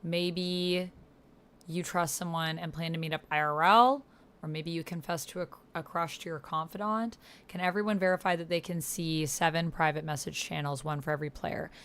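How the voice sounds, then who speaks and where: A woman with a slightly low, smooth and very clear voice talks casually through an online roleplaying game. She explains and reads aloud in an informal way, as if thinking out loud while she plays. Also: steady tone, moderate pace. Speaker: host and player of an online text roleplaying game. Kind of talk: casual explanatory chat while playing, with some reading aloud.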